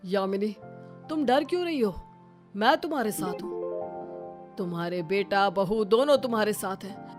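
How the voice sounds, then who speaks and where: A woman's voice: medium pitch, lively intonation, normal speed, pauses often, over music, close to an everyday voice. A woman voicing a devoted middle-aged husband in a sentimental family story, tender and earnest. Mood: reassuring.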